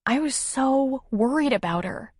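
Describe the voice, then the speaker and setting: Narratrice che interpreta una giovane madre in lacrime, voce acutissima. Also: female voice, high voice, expressive, breathy edge, no echo, studio quality, pitched above the performer's own voice, heavily acted. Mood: emotional confession. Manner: emotional, halting, confiding. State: tearful, sniffling. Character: tearful timid young single mother, grateful and vulnerable.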